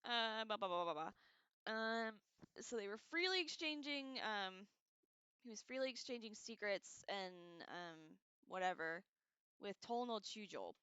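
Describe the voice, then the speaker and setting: A woman hosting a livestream, casually reading aloud and breaking off into irreverent, sarcastic, slangy commentary in an expressive way. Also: mid-range voice, unhurried, clear, resonant tone, dry recording, lo-fi sound. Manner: expressive, animated asides. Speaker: livestream host.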